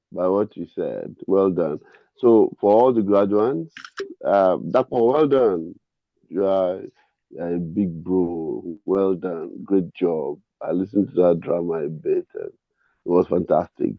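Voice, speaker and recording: A deep, gravelly Nigerian man speaks slowly on a phone-like connection with a very dry sound. His congratulations are halting and encouraging. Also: no echo. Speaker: course faculty member. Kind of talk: informal congratulatory remarks. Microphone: online call microphone. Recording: tinny phone-like call audio.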